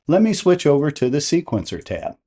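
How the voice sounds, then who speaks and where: Mellow man giving step-by-step instructions. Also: a little high, big pitch swings, a little fast. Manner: methodical, plain-spoken instruction. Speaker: tutorial presenter. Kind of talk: step-by-step software tutorial narration.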